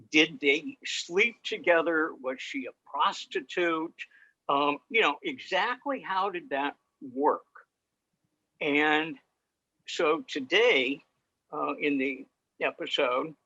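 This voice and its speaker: An older man giving an informal introductory talk on a video call, telling stories in a halting way. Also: a little high, sharp tone. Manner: halting, storytelling. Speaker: presenter of old-time radio sessions. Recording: dull video call audio.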